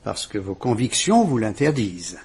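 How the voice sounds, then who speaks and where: A man's voice: lowish voice, very animated, normal speed, darkish tone, slightly rough, full character voice. An actor playing a police commissioner who questions a frightened woman in a French radio drama. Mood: questioning.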